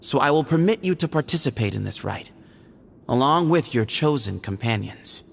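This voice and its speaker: An actor playing a solemn, pragmatic temple heir in a video game, speaking in a formal, measured way. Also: male voice, medium pitch, expressive, gravelly, slight background noise. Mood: firm, formal.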